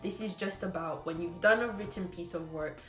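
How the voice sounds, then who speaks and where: Woman, teacher-trainer lecture, blunt and scolding, repeats herself for emphasis; echoey room, soft music under. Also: on the low side, normal speed.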